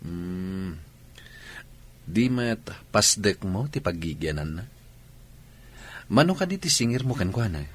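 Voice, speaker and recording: A man's voice: deep voice, big pitch swings, slow, long pauses, husky, exaggerated voice. A man playing an easygoing, affectionate boyfriend in an Ilocano audio drama, with an Ilocano accent. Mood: casual.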